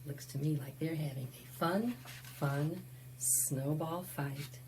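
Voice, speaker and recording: A woman's voice: sits low, takes it slowly, darkish tone, a little hiss or hum. A woman presenting a children's storytime, reading aloud expressively and chatting to young listeners with asides and questions. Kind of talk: read-aloud picture book storytime for preschoolers.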